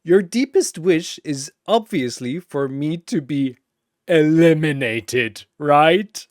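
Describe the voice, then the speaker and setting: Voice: medium pitch, expressive, takes it slowly, dry recording, clean studio sound, pitched above the performer's own voice. A narrator voicing a manic, gleeful triangle demon with a mocking, theatrical delivery in an audio story. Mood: manic, hysterical.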